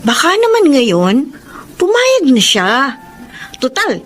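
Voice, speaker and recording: An actress playing a frank, persuasive friend in a radio drama, with a Filipino accent. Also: female voice, sits high, huge pitch swings, takes it slowly, a bit bright, full character voice. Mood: insistent, persuasive. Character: frank, persuasive female friend urging a housewife to work.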